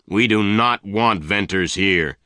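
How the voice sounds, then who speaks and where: A man's voice: lowish voice, takes it slowly, pushed and bright, no echo. A man voicing an angry, jealous and commanding boss in a western story, speaking in threats. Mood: stern.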